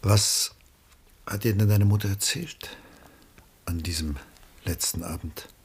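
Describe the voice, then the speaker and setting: An actor playing a reserved, world-weary, melancholy middle-aged artist in a 1960s German radio play, measured and guarded. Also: male voice, fairly low pitch, breathy edge, exaggerated voice. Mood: hesitant, melancholy.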